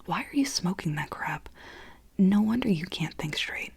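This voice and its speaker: A woman's voice: lowish voice, lively intonation, normal speed, gravelly, slight background noise. A woman whispering the lines of a man who lectures a friend, from a screenplay reading. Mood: scolding.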